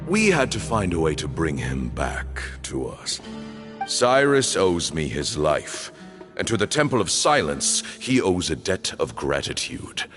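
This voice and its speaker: An actor playing a stern, ominous old temple leader in a video game, speaking formally and grandly. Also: male voice, sits low, sing-song, measured pace. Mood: menacing, composed. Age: older. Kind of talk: dramatic video game voice acting. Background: background music.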